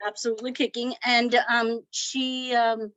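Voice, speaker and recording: A woman's voice: on the high side, level tone, a little slow, a bit bright, no room sound. A middle-aged woman born in Cuba, a podcast guest talking reflectively with frequent fillers and restarts. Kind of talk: conversational interview. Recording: dull lo-fi sound, dry.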